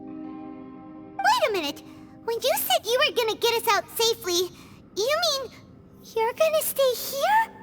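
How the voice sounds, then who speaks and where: A tiny fairy sidekick speaks in a squeaky, extremely high childlike voice. She is loud, indignant and excitable, swooping wildly in pitch over prominent game music. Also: a little slow, long pauses, heavily acted. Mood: alarmed. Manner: excitable, refers to self in third person. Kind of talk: video game voice acting.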